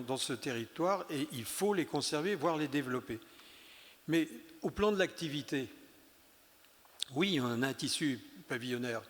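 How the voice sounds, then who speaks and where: A man giving a round-table speech as an elected leader, emphatic, with many restarts and hesitations. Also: medium pitch. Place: conference hall. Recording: long hall reverb.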